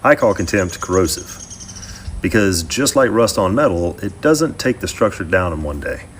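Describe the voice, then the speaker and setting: A man with a low voice reads out a scripted relationship advice monologue. He slows right down for effect and lingers on key phrases. A faint ambient hum sits behind him. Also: very animated. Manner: slow, deliberate, dramatic pauses.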